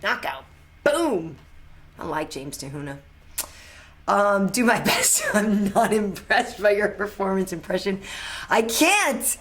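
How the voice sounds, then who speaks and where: A woman's voice: medium pitch, very animated, slow, a bit bright, a little hiss or hum. A woman hosting a live chat, answering fan questions in an enthusiastic, chatty way that is full of restarts and repeated words. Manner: answers viewers' questions on the fly.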